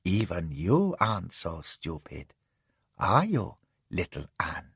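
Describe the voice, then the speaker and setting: A man voicing a drowsy, capricious young imperial concubine who purrs her words slowly. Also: deep voice, wildly swooping pitch, vocal fry, fairly bright tone, breathy edge, no echo, voice pushed down, heavily acted. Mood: purring teasing.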